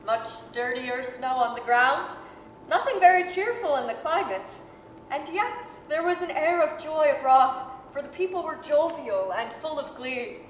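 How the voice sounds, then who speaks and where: A woman playing the measured narrator of a radio-style staged reading. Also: high voice. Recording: echoey hall, faint hiss.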